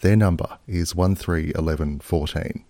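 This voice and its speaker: A man reading a scripted podcast intro and content warning in a smooth, polished delivery. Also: low-pitched, moderate pace. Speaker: podcast announcer.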